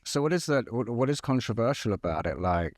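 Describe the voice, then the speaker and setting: A man's voice: medium pitch, sing-song, brisk, darkish tone, no echo, studio quality. A man from hosting a podcast interview, asking curious questions with stumbling restarts. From London. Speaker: podcast host. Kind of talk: casual interview talk.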